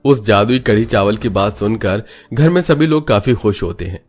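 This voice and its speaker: A man's voice: on the low side, animated, normal speed. A man giving scripted narration for a cartoon moral story. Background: background music.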